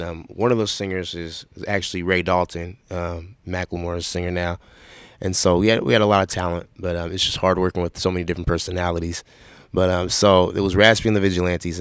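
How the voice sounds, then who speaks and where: A young man from Seattle with a raspy voice, answering interview questions in a relaxed, rambling way with lots of fillers. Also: deep voice, dry recording. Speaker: rapper guest.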